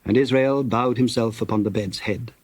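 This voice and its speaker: A man's voice: medium pitch, moderate pace, darkish tone, slightly rough, no room sound. Dry-sounding male scripture narrator.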